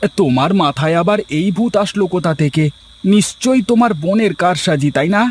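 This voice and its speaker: A man's voice: high-pitched, lively intonation, a little fast, slightly rough. A man voicing a poor, down-to-earth farmer husband in a cartoon, teasing and lecturing in a folksy way. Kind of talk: cartoon dialogue acting.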